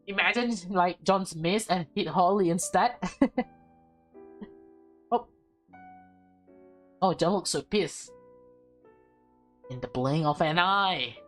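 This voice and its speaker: A woman reads a visual novel aloud as a gaming host. Her delivery is expressive and playful, slipping between dramatic narration and casual asides. Music plays behind her voice. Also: on the low side. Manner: expressive, playful, acts out dialogue.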